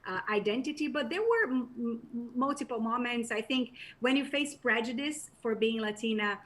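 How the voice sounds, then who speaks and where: A woman's voice: fairly high pitch, lively intonation, moderate pace, a bit bright. Thoughtful Brazilian-accented woman. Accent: Brazilian Portuguese. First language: Portuguese. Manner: thoughtful, with frequent restarts and fillers. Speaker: guest, professor and journalist. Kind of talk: conversational interview answer.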